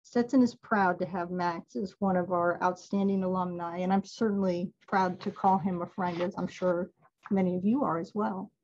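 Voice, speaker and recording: A woman's voice: lowish voice, steady tone, normal speed, darkish tone, lo-fi sound. A woman giving a casual webinar talk on a video call, chatty and laughing often. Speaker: alumni event presenter.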